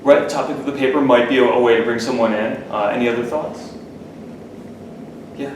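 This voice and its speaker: A man's voice: medium pitch, fairly even intonation, a little fast. A man presenting a workshop, talking casually to the class and checking in with the listeners. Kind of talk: casual teaching talk to a class. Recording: echoey room, distant mic, background noise.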